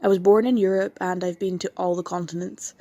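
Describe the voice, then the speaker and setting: A woman's voice: on the low side, fairly even intonation, fairly quick, warm tone. A sweet young vampire girl. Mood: relaxed. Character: young vampire woman, friendly new neighbor. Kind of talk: ASMR audio drama.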